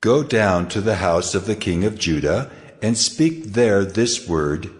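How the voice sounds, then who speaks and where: An actor playing the solemn, commanding voice of God in a dramatized scripture reading. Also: male voice, lowish voice, expressive, a little slow. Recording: long cavernous reverb.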